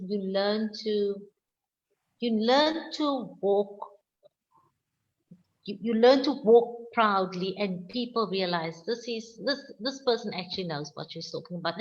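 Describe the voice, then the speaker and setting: A South African woman winemaker answering questions on a video call, speaking slowly and with firm emphasis. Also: medium pitch, dull audio. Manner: slow, deliberate, emphatic. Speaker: winemaker guest.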